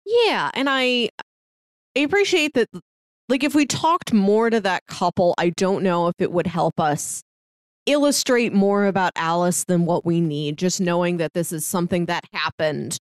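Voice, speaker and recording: A woman's voice: lowish voice, animated, normal speed, smooth, no room sound, clean studio sound. A woman co-hosting a podcast, discussing film casually and conversationally, often peppering her sentences with "like". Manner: conversational, frequently says like. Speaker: podcast co-host.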